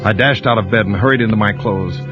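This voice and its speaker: A man's voice: on the low side, lightly acted. A man playing a brooding suspicious husband. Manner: measured, brooding narration. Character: suspicious husband, grim first-person narrator. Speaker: lead actor and narrator. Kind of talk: 1940s radio drama acting. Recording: lo-fi old radio broadcast with music.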